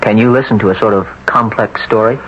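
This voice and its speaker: An actor playing a desperate, haunted young hospital patient in a 1970s radio drama, speaking with brooding, deliberate intensity. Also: male voice, lowish voice, steady tone, natural voice. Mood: insistent. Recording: dull, noisy old radio recording.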